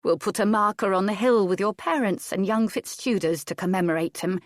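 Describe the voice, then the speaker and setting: A woman's voice: medium pitch, fairly quick, no echo, studio quality, close to an everyday voice. A woman reading an audiobook, voicing an old, motherly nursemaid who comforts with practical endearments. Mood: soothing. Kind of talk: audiobook character voice.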